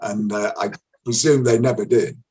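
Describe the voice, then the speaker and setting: A man's voice: lowish voice, fairly even intonation, moderate pace, mellow, slightly rough. An older man with a slight Irish accent, an actor telling anecdotes in a casual, self-deprecating way. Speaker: actor, interview guest.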